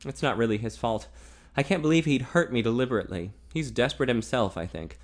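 A man voicing a confused, bitter young man in a read-aloud romance novel, speaking in a halting way. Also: mid-range voice, lightly acted. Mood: resigned.

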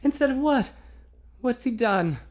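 A man voicing a pleading, bold young woman in a read-aloud romance novel, in a high, breathy voice. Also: animated, measured pace. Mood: distressed.

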